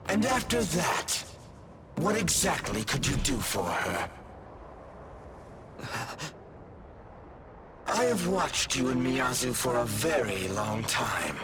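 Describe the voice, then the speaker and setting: Slow, haughty god. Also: male voice, medium pitch, big pitch swings, background noise. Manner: formal, measured, haughty. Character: stern, regal male deity, coolly condescending guardian. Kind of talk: anime-style video game voice acting.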